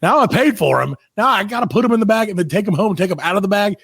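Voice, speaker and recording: A man hosting a comedy podcast, chatting casually and ranting in mock exasperation, his voice hoarse from overuse. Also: high voice, expressive, very fast, fairly bright tone. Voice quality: worn-out, overused voice. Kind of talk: casual comic chat.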